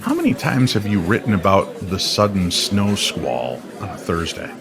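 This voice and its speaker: A man playing a weary, enigmatic stranger in a radio drama, speaking in a calm, measured way. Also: deep voice, creaky, exaggerated voice. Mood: cryptic. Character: weary, cryptic male stranger who knows hidden truths. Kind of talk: old-time radio drama acting. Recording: crowd chatter behind the voice.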